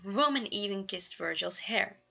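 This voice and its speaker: A woman narrating a scripted story as an amateur reader, expressive, with small stumbles. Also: medium pitch, normal speed, vocal fry, lo-fi sound. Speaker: amateur fan fiction reader.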